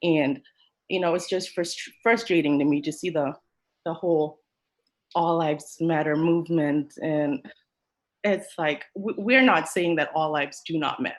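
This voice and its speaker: A woman's voice: low-pitched, measured pace. A woman from Kenya, speaking emotionally and emphatically in a podcast discussion. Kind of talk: earnest discussion.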